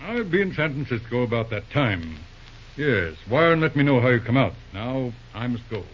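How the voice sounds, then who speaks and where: An actor playing a shrewd, exacting older businessman uncle in an old-time radio drama, asking measured questions in a husky voice. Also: mid-range voice, sing-song, slight background noise, lightly acted. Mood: firm, matter-of-fact. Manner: probing, measured questions with hums. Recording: dull, lo-fi old radio recording.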